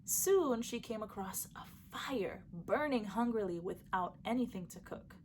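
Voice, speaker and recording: A woman reading a folktale aloud for children, lively and shifting her voice for each character. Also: mid-range voice, a little slow, slight background noise. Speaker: storyteller. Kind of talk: storybook read-aloud for children.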